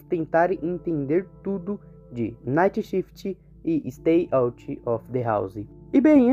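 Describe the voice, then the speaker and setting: Brazilian man narrating, thin phone-like sound. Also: high voice. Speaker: video host and narrator. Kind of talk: horror game explainer narration. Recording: muffled, narrow phone-like sound.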